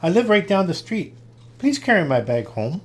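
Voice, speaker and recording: A man's voice: sits high, very animated, normal speed. A man voicing a mischievous, bold young boy in a storybook, matter-of-fact in manner.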